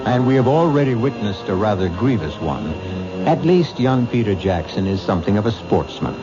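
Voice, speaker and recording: A man's voice: lowish voice, sing-song. A man playing a wry, ominous host, narrating a 1970s radio mystery in theatrical, formal style. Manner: theatrical, measured, wryly formal. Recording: dull 1970s radio broadcast. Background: music.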